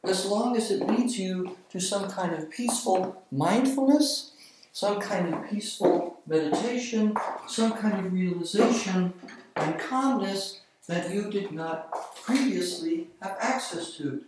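Calm, meditative voice in an echoey room. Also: mid-range voice, recorded from a distance, very noisy. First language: not Japanese. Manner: slow, calm, meditative delivery. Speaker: vlogger. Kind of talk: reflective monologue on a Zen koan. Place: small room with bare walls and tiled floor, indoors. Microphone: far camera on the floor.

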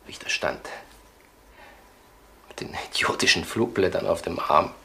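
Deep-voiced, halting, smitten lawyer. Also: male voice, vocal fry, bright, forceful tone, heavily acted. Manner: halting, confessional, intense. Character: earnest, infatuated lawyer recounting a romance to police. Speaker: actor in a radio crime drama. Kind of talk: German radio crime drama acting, reflective narration. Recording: noisy archive radio drama recording.

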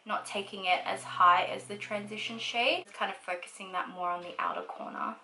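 A woman's voice: medium pitch, fairly even intonation, fairly quick, pushed and bright, echoey room, slight background noise, poor audio. A woman in her twenties, from Australia, chatting casually about herself while doing her makeup, full of likes and ums. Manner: chatty, lots of likes and ums, laughs easily; talks while applying makeup. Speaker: beauty vlogger. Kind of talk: casual get-ready-with-me chat. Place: small indoor room at home.

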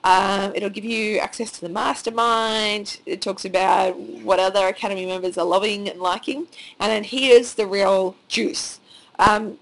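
A woman's voice: medium pitch, measured pace, rough recording. Australian woman with a cold. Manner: chatty and excited, rambling with many fillers. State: has a cold, nearly lost voice. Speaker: online course creator. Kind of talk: casual screen-share walkthrough. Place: at home with children nearby.

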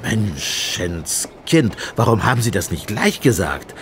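A man's voice: sing-song, slow pace, fairly bright tone, full character voice. An actor playing a blunt, sardonic, sceptical male police inspector in a radio play. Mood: exasperated. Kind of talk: German radio play dialogue. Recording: train rumble behind the voice.

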